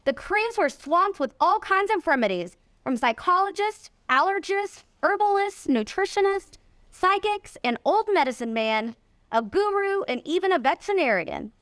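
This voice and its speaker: Female storybook reader, high-pitched, expressive delivery for children, close dry sound. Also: moderate pace, no echo. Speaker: volunteer reader, nonprofit executive. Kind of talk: read-aloud of a children's storybook.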